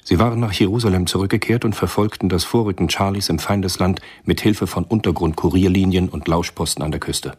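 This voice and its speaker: An actor as the composed narrator of a German radio spy thriller, telling the story in a measured, literary way. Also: male voice, low-pitched, a little mellow, breathy edge. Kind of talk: scripted radio drama narration.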